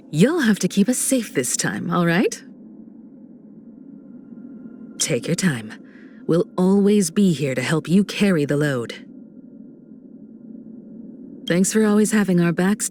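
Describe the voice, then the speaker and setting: A graceful woman who navigates a starfaring train in a video game. She speaks slowly with expressive swings in pitch, composed and kind, gently teasing yet steady. Faint noise sits behind the polished performance. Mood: encouraging, gracious. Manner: poised, unhurried, gently teasing and reassuring.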